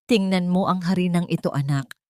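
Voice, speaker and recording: A gentle, wise older mother-in-law from a storybook drama, voiced by a woman narrator. She speaks earnestly and firmly in a lowish voice. The recording is dry and close. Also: brisk, dry recording, close to an everyday voice.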